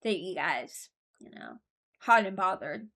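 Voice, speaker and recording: A woman's voice: lowish voice, animated, measured pace, pushed and bright. A young woman hosting a video with casual, informal commentary on game voice lines, rambling and often interrupting herself. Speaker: streamer and video host.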